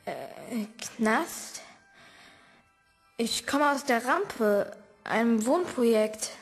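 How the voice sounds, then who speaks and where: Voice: medium pitch, animated, faint music behind, heavily acted. An actor playing a timid, bewildered young boy who speaks hesitantly in an audio drama. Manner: hesitant, halting. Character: timid, bewildered small boy, newly abducted.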